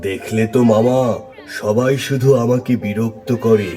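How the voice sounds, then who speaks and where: A man's voice: mid-range voice, fairly even intonation, normal speed, natural voice. Man as a plaintive talking tree, music behind. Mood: aggrieved. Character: long-suffering talking tree, complaining about careless humans. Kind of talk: children's puppet show dialogue.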